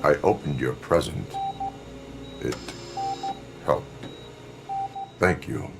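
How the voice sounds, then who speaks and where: A man playing a grim, dutiful cyborg police officer in a video game, speaking in measured, stiff, clipped phrases. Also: rumbling low, expressive, creaky, full character voice. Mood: subdued, reflective. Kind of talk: video game cutscene acting.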